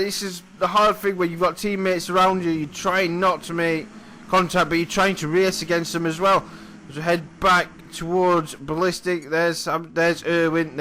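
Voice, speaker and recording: Hoarse guy calling a sim race, bright tense voice, chatty and apologetic, faint engine noise behind. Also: a little high. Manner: chatty, apologetic, self-correcting. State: hoarse, losing his voice. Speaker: sim racing commentator and driver. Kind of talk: race commentary over a replay.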